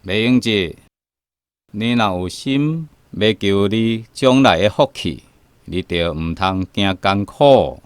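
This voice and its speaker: An actor playing a stern, pious Buddhist guide, speaking solemnly in a Taiwanese radio drama. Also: male voice, fairly low pitch, animated, clear, resonant tone, no room sound. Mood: stern, instructing. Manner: solemn, measured, admonishing.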